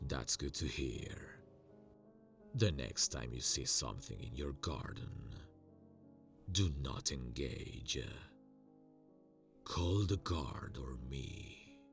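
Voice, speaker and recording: A man's voice: extremely low pitch, animated, slow, pauses often, soft background music, heavily acted. A man playing a stern, protective vampire father, lord of a castle, in a commanding ASMR audio roleplay. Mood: restrained, caring. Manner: commanding, authoritative.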